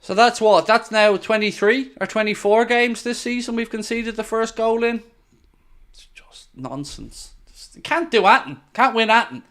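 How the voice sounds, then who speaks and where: A man's voice: high-pitched, normal speed, fairly bright tone. A man hosting a live football watchalong, ranting in exasperated, sarcastic reaction to his team's play. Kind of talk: live match commentary and reaction.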